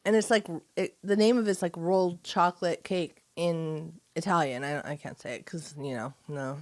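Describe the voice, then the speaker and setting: A woman's voice: fairly low pitch, steady tone, normal speed, darkish tone, dry recording. An American woman co-hosting a podcast, chatting casually in an informal, giggly way. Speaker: podcast co-host. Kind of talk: casual chat.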